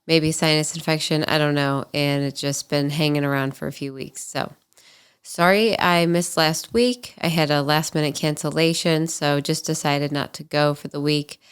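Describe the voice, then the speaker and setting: A woman's voice: sits low, fairly even intonation, normal speed, clear, resonant tone. A woman in her twenties hosting a podcast, telling stories in a candid, chatty way. She sounds a little nasal and stuffy from allergies. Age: 20s. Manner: candid, chatty, frequent ums and likes. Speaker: podcast host. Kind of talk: casual solo storytelling.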